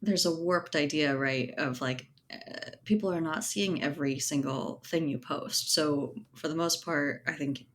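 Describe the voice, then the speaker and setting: A woman's voice: sits low, a little slow, a little hiss or hum. A woman speaking casually as an interview guest, thinking aloud and checking in with a conversational "right?" as she explains. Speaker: guest, writer and editor. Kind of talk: casual podcast interview chat.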